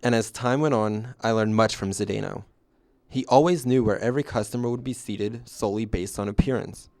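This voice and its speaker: A man's voice: lowish voice, brisk, a little mellow, dry recording, lightly acted. A man playing a former usher, reflectively narrating his story in scripted first person.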